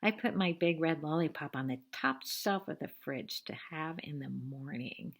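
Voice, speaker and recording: A woman reading a picture book aloud to children like a teacher, expressively, pausing for simple explanations and questions. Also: low-pitched, vocal fry. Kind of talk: read-aloud storytelling with asides and questions to young listeners.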